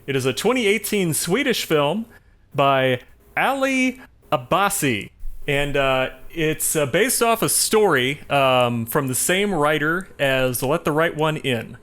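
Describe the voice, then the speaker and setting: Man, fairly high voice, very animated intonation, podcast co-host, casual film chat, explanatory. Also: takes it slowly.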